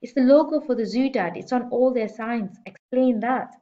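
A woman's voice: fairly high pitch, moderate pace, lo-fi sound, voice pushed up, natural voice. Woman voicing an eager pleading young girl. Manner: pleading, excited.

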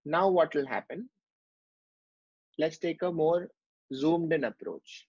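A man's voice: fairly high pitch, slow, a little mellow, slightly rough, no room sound. A man teaching a medical lecture over a drawn whiteboard, explaining step by step with many fillers. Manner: explanatory, with frequent fillers and restarts. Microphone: online class recording. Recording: dull lo-fi dry sound.

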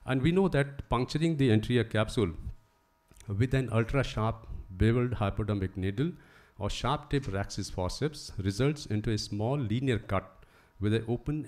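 A middle-aged man giving a technical talk at a conference, explaining at a podium microphone and pausing often with "uh". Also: fairly low pitch, darkish tone. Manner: explanatory, reading from slides with frequent "uh" pauses. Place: conference hall, standing at a podium.

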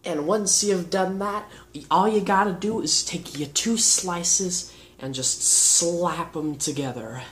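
A man's voice: high-pitched, takes it slowly, a bit bright. A teenage young man casually hosting a homemade cooking show in a laid-back, goofy, slangy way, showing each step in a home kitchen. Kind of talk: casual vlog presenting, demonstrating steps. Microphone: camera on the counter, about a metre away. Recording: clearly noisy homemade camera audio.

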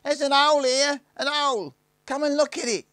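A man voicing the youngest boy of a rough gang in a storybook, shrill and wailing. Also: normal speed, a bit bright, no room sound, studio quality. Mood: excited. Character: youngest Snargit, small frightened boy.

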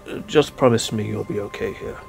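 A man's voice: lowish voice, brisk, creaky, darkish tone, faint music behind. A man playing a driven, zealous scientist-explorer in an audio drama, earnest and intense. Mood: earnest, determined. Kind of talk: dramatic audio play acting.

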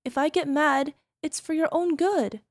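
A woman's voice: high voice, a little slow, dry recording, studio quality. A woman playing a sweet, menacing yandere wife. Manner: sweetly coaxing, turning quietly menacing. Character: possessive yandere young wife, sweet but controlling. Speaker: voice actress. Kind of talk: ASMR roleplay audio drama.

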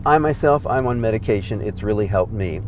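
A man's voice: fairly low pitch, expressive, brisk, darkish tone, slight background noise. A middle-aged man, a guest, explaining his program in an earnest, conversational way while riding in a car. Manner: earnest, explanatory, laughs easily. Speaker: guest, comedy program founder. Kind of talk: conversational interview. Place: inside a moving car.

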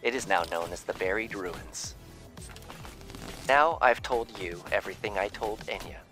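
Low-voiced, courteous robot. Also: male voice, moderate pace, bright, forceful tone, soft background music. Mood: polite, earnest. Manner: courteous, formal, precise. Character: polite, curious robot collector of data. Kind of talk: video game cutscene dialogue.

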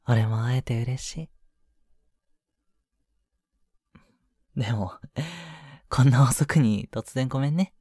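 A man voicing an affectionate, clingy young boyfriend in an intimate audio drama, murmuring softly to the listener with breathy chuckles. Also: medium pitch, slow, no echo, studio quality. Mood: happy, affectionate. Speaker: voice actor.

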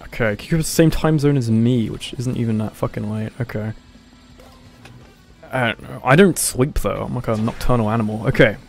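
A man's voice: mid-range voice, normal speed, creaky, soft background music. A man hosting a casual gaming livestream, talking in a hesitant, mumbly way with lots of swearing. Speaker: livestream host. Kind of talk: casual gaming stream chat.

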